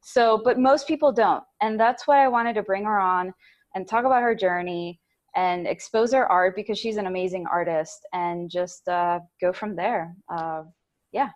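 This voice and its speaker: A woman's voice: medium pitch, moderate pace. A woman from Puerto Rico co-hosting a podcast, talking in a casual, rambling and effusive way. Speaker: podcast co-host. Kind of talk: casual chat.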